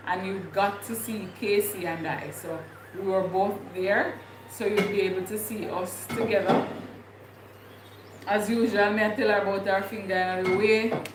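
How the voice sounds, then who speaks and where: A woman's voice: medium pitch, steady tone, moderate pace. Chatty Jamaican woman in an echoey room. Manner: rambling, thinking aloud with many fillers. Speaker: vlogger. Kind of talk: casual livestream chat. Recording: echoey room with clear background noise.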